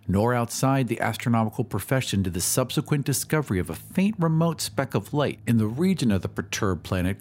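A man narrating a story as a scripted dramatic reading, in a measured, solemn storytelling manner. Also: lowish voice, darkish tone. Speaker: podcast host and narrator. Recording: music behind the voice. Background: music.

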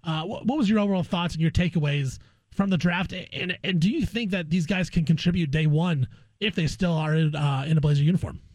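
A man's voice: fairly high pitch, a little fast, a little mellow, no room sound. A man guest-hosting a sports talk radio show, interviewing in an informal, chummy way.

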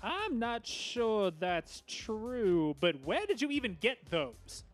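A smug, dismissive frat-boy bully, voiced by a man, speaks in a high, lively voice that turns menacing. Light music sits underneath in this cartoon. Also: slow, exaggerated voice. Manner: smug and dismissive, with threatening drawl.

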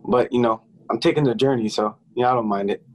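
A nineteen-year-old male musician talking casually in an interview, halting, with many fillers and restarts. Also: medium pitch, low-quality recording. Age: young adult. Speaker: musician guest.

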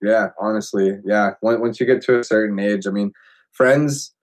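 A man's voice: fairly low pitch, fairly even intonation, normal speed. A man in his late twenties chatting casually, rambling and filling his talk with "like" and restarts. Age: 20s. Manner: rambling, heavy use of "like". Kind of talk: casual chat.

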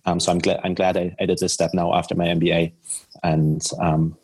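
German accented guy, deep raspy-ish voice, chatting as a podcast guest about himself. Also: flat delivery, brisk, darkish tone. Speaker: podcast guest, startup founder. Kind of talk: conversational interview answers.

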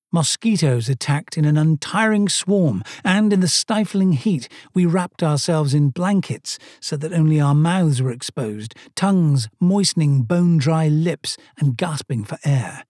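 A man's voice: on the high side, darkish tone, no room sound. A man from Sweden narrating a first-person travel story with dry, self-mocking humor.

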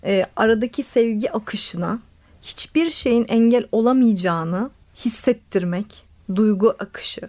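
A woman play therapist, a guest on a radio interview, explaining her ideas thoughtfully with many pauses and fillers. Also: a little high, darkish tone.

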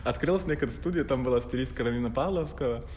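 A man with an Arabic accent, speaking a learned language with loose grammar, rattles along quickly at a middle pitch. He laughs easily and talks in a casual, animated way. The recording sits in a reverberant room with faint noise underneath. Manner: fast, animated, laughs often, imperfect grammar. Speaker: fashion photographer. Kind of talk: casual interview chat.

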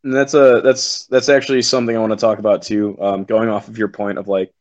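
A man's voice: lowish voice, flat delivery, fast talker, a little mellow, muffled recording. A man who works as a game commentator, chatting on a podcast in a rambling, profane, self-assured way. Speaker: esports commentator. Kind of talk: casual podcast chat.

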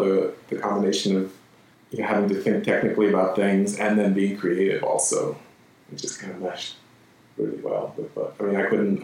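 Interview guest: man from Chile, low voice, hesitant and reflective, recorded distant and echoey. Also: creaky, darkish tone. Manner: thoughtful, halting, many restarts and fillers. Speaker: guest, architect and screen printer. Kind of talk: relaxed conversational interview.

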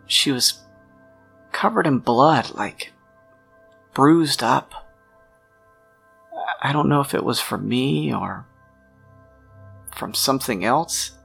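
Man playing a low, gravelly, guilt-ridden loner. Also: bright, forceful tone, exaggerated voice. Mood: scared, pleading. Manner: halting, subdued, trailing off in fragments. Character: troubled, guilt-ridden quiet male loner confessing to a detective. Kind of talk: tabletop roleplay dialogue.

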